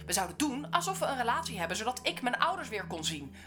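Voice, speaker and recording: A woman's voice: a little high, sing-song, fast. An actress playing a defiant woman in her twenties, hurt and combative, in an audio drama. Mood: angry, accusing. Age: 20s.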